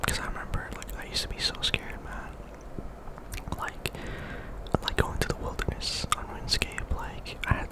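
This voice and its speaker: A young man speaking in a breathy whisper, giving casual, rambling ASMR commentary over gameplay and often repeating words. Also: low-quality recording. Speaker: host of a gaming ASMR channel.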